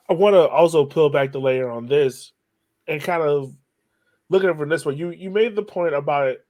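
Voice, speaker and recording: A man's voice: on the high side, darkish tone. A man hosting a podcast in a casual conversational style, musing informally and building rhetorical questions. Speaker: podcast host.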